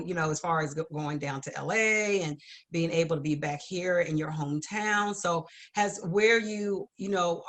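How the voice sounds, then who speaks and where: A woman's voice: on the low side, a bit bright. A woman hosting an interview show in an effusive, affirming and encouraging way.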